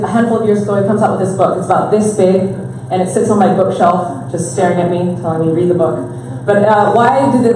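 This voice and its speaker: A woman's voice: mid-range voice, warm tone, slight background noise. A woman speaking on a panel, thoughtful and hesitant, with many fillers. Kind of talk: panel discussion. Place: event venue. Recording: echoey hall, distant mic.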